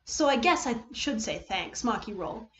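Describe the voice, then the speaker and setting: A woman voicing a wicked, mocking teenage boy villain with drawn-out, theatrical teasing in a dramatic story reading. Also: fairly high pitch, sing-song, echoey room. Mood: gleeful taunting. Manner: taunting, drawn-out teasing delivery.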